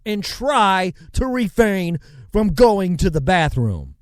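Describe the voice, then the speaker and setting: A man's voice: high-pitched, sing-song, slow pace, dry recording, studio quality. A man hosting a show, ranting sarcastically and rambling through news items, swinging between mutters and outbursts. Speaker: show host. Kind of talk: ranting commentary and news reading.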